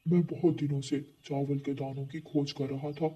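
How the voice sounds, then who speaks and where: A man voicing a gentle, food-loving male pigeon in a children's cartoon story. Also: fairly high pitch, level tone, normal speed, recorded from a distance, close to an everyday voice. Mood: pleased, gentle. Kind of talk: Hindi cartoon story acting.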